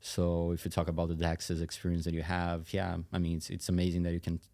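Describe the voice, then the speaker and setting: A man explains things in a halting, thoughtful way as a guest in a conversational interview. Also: low voice, monotone, warm tone. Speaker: podcast guest, tech company chief executive.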